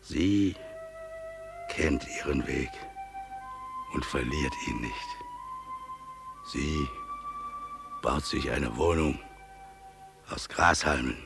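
An actor playing a devout father in a radio play, praising God's creation in solemn, exalted declamation with drawn-out emphatic phrases. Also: a bass voice, creaky, exaggerated voice.

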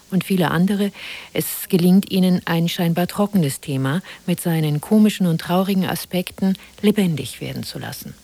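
Deep-voiced woman radio presenter. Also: a little mellow. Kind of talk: formal scripted introduction.

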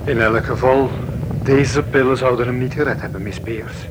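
A man playing a calm, authoritative airliner captain in a radio play. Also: mid-range voice, moderate pace, heavy background noise, lightly acted. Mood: grave. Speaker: aircraft commander. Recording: old radio play, loud aircraft drone.

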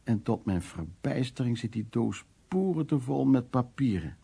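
Radio drama role: troubled man telling his bitter past, mellow but husky, halting storyteller delivery. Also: medium pitch, expressive. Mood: brooding, anguished. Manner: halting, storytelling, acting out quoted remarks. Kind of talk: Dutch radio play, intimate dramatic monologue and dialogue.

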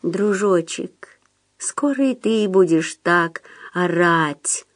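A woman's voice: fairly low pitch, lively intonation, takes it slowly. A woman voicing an insinuating male phantom in an audiobook, coaxing and nagging in a familiar, plaintive, conspiratorial way. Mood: plaintive, needling. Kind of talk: audiobook character voice.

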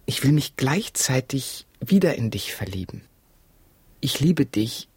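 An actor playing an earnest, needy lover in a German radio drama, lecturing insistently. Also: male voice, mid-range voice, darkish tone, slightly rough, no room sound. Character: earnest, needy lover pleading for love and conformity.

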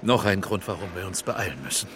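A man's voice: low voice, animated, brisk, noisy background. An actor in a German radio drama playing a composed, courteous film director, polite and placating, who instructs with devotion to his craft. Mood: dry, urgent.

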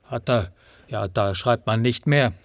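Man acting humble rootless peddler, halting speech, evasive, anxious, radio play, medium pitch, dry sound. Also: no room sound, close to an everyday voice. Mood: plaintive, rambling. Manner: halting, rambling, says "one" instead of "I".

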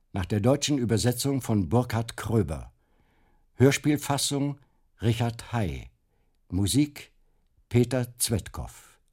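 A man serves as formal announcer for a radio drama. He delivers each title and credit slowly and deliberately, with a lively rise and fall in pitch.

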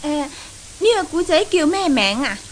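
A woman's voice: high voice, very animated, unhurried. An actress playing a polite, playful young European woman, a guest of honour in a 1970s Cantonese radio drama. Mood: playful curious. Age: young adult. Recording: hissy, noisy 1970s radio recording.

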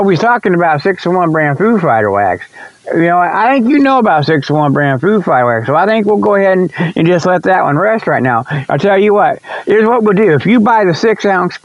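A man's voice: on the high side, no echo, lo-fi sound. A man hosting a talk show in a rambling, folksy, repetitive monologue, meandering from one thought to the next. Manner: folksy, repetitive, meandering, often chuckles to himself. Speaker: host of a radio-style talk show. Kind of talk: rambling folksy monologue, tongue-in-cheek sales pitches.

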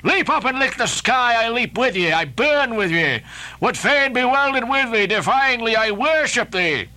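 A man's voice: extremely high pitch, lively intonation, measured pace, sharp tone, voice pushed up, exaggerated voice, low-quality recording. A man voicing an obsessed old sea captain who declaims in archaic, grandiose speech. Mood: defiant, exalted. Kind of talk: dramatic audiobook reading.

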